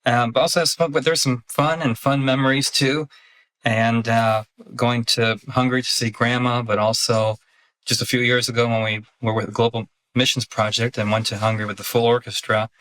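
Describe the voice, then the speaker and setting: A man's voice: medium pitch, little pitch movement, brisk, a bit bright, rough recording. A man interviewing a guest, earnestly leading into questions about her life. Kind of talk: casual interview.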